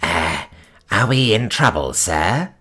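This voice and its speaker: A man's voice: low voice, very animated, takes it slowly, sharp tone, breathy edge, faint music behind, full character voice. A man voicing an easygoing giant snake-like alien crewman, laid-back and sheepish.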